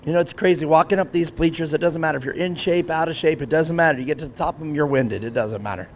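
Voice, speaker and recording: A man's voice: on the high side, fast talker. Fifties guy doing race announcing, chatty, jokes on himself, bit of background noise. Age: 50s. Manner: chatty, self-deprecating, laughs often. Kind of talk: live race commentary. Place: grandstand at an outdoor race track.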